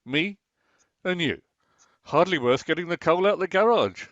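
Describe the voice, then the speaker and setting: A man's voice: on the high side, animated, normal speed, a bit bright, no echo. A man playing a pedantic middle-aged husband. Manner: defensive, pedantic, stammers when caught out. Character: Martin, a bored middle-aged husband obsessed with online tank games. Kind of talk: amateur radio play acting. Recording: slightly muffled, lo-fi.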